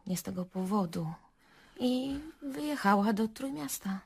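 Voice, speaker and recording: A woman's voice: medium pitch, expressive, measured pace, slightly rough. An actress playing a nervous, gossipy young woman under questioning in a radio drama, hesitant, rambling and correcting herself. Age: young adult.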